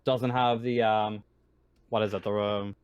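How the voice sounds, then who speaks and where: A man acting as game master, casually narrating a tabletop roleplaying game in a halting way, searching for words. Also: on the low side, little pitch movement, darkish tone, clear, resonant tone, dry recording, highs cut off.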